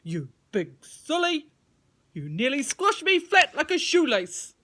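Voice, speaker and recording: A cheeky little storybook worm, acted out by a woman, loud and blunt. He brags with heavy emphasis and takes his time over the words. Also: high voice, wildly swooping pitch, slow, voice pushed up, heavily acted. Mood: indignant. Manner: blunt, boastful, loudly emphatic. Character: cheeky, boastful little male worm, brave and helpful.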